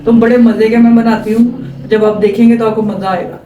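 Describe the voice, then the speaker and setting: Pakistani woman, high bright pitch, chatty home-cook vlogger, recorded far off in an echoey room with faint noise. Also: level tone, a little fast, highs cut off. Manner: chatty, explains each step to viewers. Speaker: home cook, vlog regular. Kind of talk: casual cooking demonstration. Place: home kitchen. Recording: roomy, from a distance, faint background hum.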